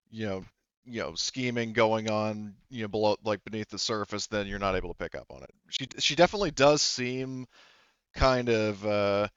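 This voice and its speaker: A man's voice: mid-range voice, fairly bright tone, smooth, dry recording, lo-fi sound. A man running a tabletop game as game master, explaining things casually in a hesitant, rambling way.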